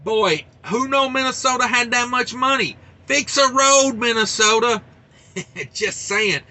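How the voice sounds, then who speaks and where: A man's voice: very high-pitched, a little slow, pushed and bright. A man hosting a trucking channel, chatting casually about news and reading articles aloud with folksy wisecracks.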